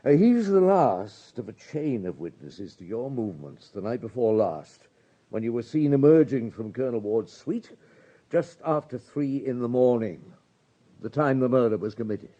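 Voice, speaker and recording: An actor playing a shrewd, courteous police superintendent in a radio drama, polite, measured and insistent. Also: male voice, medium pitch, animated, dark tone, lo-fi sound, close to an everyday voice. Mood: coolly accusing.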